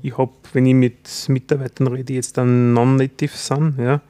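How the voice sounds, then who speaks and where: A man with a Styrian Austrian accent, chatting casually as a podcast co-host and often tagging his sentences with a quick "ja". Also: medium pitch, level tone, dark tone, dry recording. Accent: Styrian Austrian German. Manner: chatty, fills pauses with "ja". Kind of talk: casual chat.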